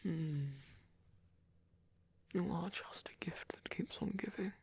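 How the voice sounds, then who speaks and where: A man voicing a caring, gentle vampire priest in an ASMR roleplay, speaking in a soothing, halting, whispery hush. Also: sits high, full character voice. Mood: tender, reassuring. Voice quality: breathy, whispery. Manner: soothing, tender, hushed and halting.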